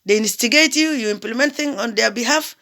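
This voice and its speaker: A woman's voice: a little high, brisk, pushed and bright. A woman from Sudan, giving a fervent political appeal full of repeated phrases and rhetorical questions. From South Sudan.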